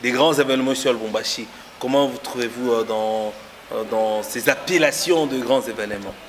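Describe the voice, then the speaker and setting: Male talk-show host, middle pitch, halting with lots of fillers, long reverb and light noise behind, for an interview segment. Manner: hesitant, many fillers. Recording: noisy room with long reverb.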